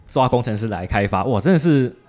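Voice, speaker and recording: A man's voice: medium pitch, expressive, a little fast, darkish tone. A man with a Taiwanese Mandarin accent hosting a casual podcast chat in an informal, joking way, often repeating short interjections. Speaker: podcast host.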